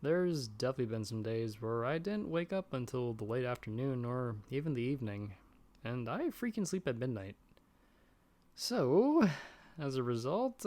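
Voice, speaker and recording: A man's voice: mid-range voice, a little mellow. A man playing a nervous, self-doubting young friend in an audio roleplay, chatting casually and rambling with self-deprecating humor. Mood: sheepish, explaining.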